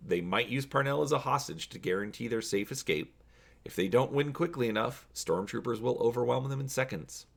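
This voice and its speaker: Low-voiced man with very lively intonation. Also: vocal fry. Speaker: host reading a choose-your-own-adventure story. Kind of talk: casual presenting and dramatic reading of a story.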